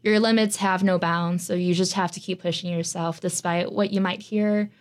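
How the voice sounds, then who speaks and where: A woman's voice: fairly low pitch, steady tone, normal speed. A young American woman, a student, answering interview questions in a chatty way with many filler words. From United States. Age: young adult. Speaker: student guest.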